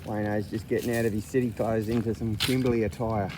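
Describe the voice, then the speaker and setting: A middle-aged Australian man with a croaky voice from a flu, chatting casually to camera in a laid-back, slangy way. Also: fairly low pitch, little pitch movement, fast talker. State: getting over a flu. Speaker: outdoor adventure vlogger. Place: outdoors and in a vehicle on the road. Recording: noisy outdoor and vehicle background.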